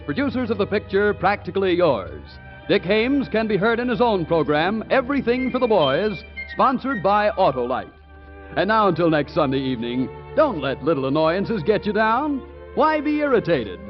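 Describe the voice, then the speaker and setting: A man's voice: sits high, highs cut off. A man announcing credits and a cigarette commercial with polished, emphatic 1940s radio delivery.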